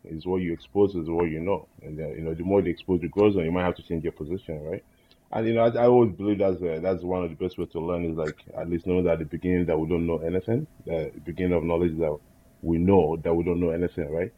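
A man with a low, husky voice shares his views in a casual roundtable. He is earnest and reflective, often checking that he is followed. The audio is a dull, lo-fi online call. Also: fairly even intonation, quick pace. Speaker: roundtable guest.

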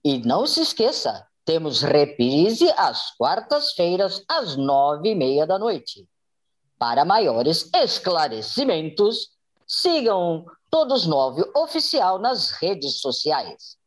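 A woman's voice: deep voice, very animated, slow pace. A woman narrating a radio soap as a dramatic scripted announcer.

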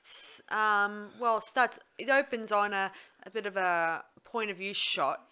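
A woman's voice: mid-range voice, fairly even intonation, measured pace, pushed and bright, smooth. A woman co-hosting a casual film review chat, often searching for words with ums and restarts. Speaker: podcast co-host.

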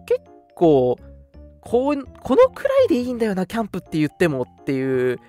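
Animated young man chatting over music. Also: sits high, a little fast. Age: young adult. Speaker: radio show host. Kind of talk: casual chat on a live web radio show. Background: background music.

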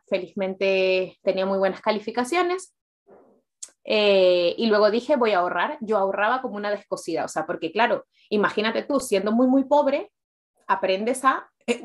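A woman in her late twenties with a Peruvian accent, telling her personal story candidly and conversationally as an interview guest, often repeating words for emphasis. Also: fairly high pitch. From Peru.

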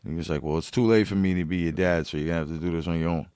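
A podcast host with a deep, low voice talks in a blunt, casual way and swears freely. His sound is dry and close, with no room echo. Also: very fast, clear, resonant tone. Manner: blunt, swears a lot, animated. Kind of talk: casual profane chat.

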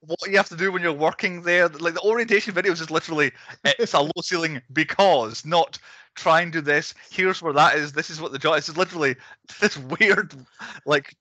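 Bright-voiced man hosting a film chat. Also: on the high side, fairly quick, no echo. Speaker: podcast host. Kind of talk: casual film discussion.

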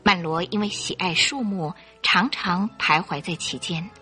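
A woman narrating a Chinese radio detective drama in a measured, suspenseful storytelling style. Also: lowish voice, bright, forceful tone. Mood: suspenseful narration. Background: faint music.

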